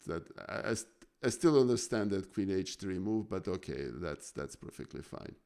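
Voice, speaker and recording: Deep gravelly man with a Serbian accent. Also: animated, moderate pace, darkish tone. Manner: hesitant, thinking aloud with restarts. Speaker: chess student. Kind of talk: casual chess lesson chat. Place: home, live stream.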